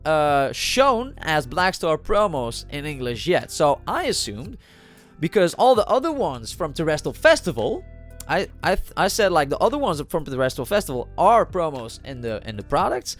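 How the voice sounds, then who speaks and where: A man's voice: on the high side, sing-song, normal speed, soft background music. A man with a cold and a slightly nasal voice, talking casually over a card video and thinking aloud with many fillers and restarts. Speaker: trading card channel host.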